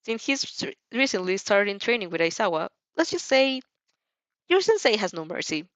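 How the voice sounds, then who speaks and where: Animated woman narrator, dry muffled sound. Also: medium pitch, moderate pace, no echo. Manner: animated, stumbling and repeating words. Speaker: fan fiction narrator. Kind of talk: expressive amateur audiobook reading.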